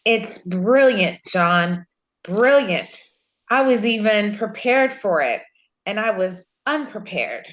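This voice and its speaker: A woman playing a giddy, gushing male visitor who is eager to flatter, in a script read-through. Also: medium pitch, slow, muffled recording. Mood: giddy, impressed. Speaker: amateur actor at a table read.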